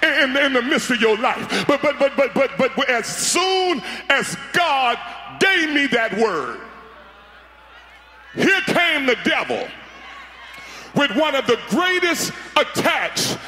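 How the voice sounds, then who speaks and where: A man's voice: very high-pitched, big pitch swings, slow, sharp tone. A middle-aged man, preaching on a large arena stage into a handheld mic, fiery and full of repeated words and calls to the crowd. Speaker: pastor. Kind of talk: sermon. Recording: echoey arena sound.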